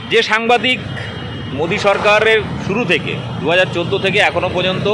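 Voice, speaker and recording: High-voiced Bengali man over clear background noise. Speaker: news presenter. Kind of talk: opinionated news introduction.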